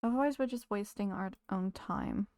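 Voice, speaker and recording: Chatty woman streamer with a gravelly edge. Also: mid-range voice, moderate pace, darkish tone, no echo. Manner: chatty, greets viewers, talks to game characters. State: losing her voice. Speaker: game streamer. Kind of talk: casual live-stream chat while playing.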